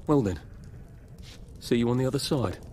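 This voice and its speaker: A man's voice: medium pitch, expressive, moderate pace, noisy background, natural voice. A man playing an earnest, haunted British man in a dramatic video game scene, intense and wounded. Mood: resolved.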